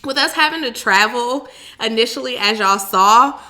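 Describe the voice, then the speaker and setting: An American woman in her thirties co-hosts a casual Q&A vlog. Her voice is bright and forceful, sitting a bit high. She explains things in a chatty, conversational way. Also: normal speed. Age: 30s. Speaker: vlog co-host. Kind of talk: casual Q&A chat.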